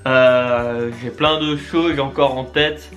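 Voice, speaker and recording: A man's voice: mid-range voice, fairly even intonation, takes it slowly, a bit bright, faint music behind. A man in his twenties chatting casually and eagerly to his viewers, with many fillers and restarts. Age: 20s. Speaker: YouTube and Twitch creator. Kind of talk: casual vlog address to viewers.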